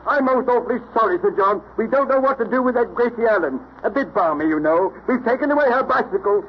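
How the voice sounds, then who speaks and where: A man's voice: extremely high pitch, animated, fast. A man playing a polite, flustered hotel clerk in an old radio comedy. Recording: muffled, noisy old radio recording.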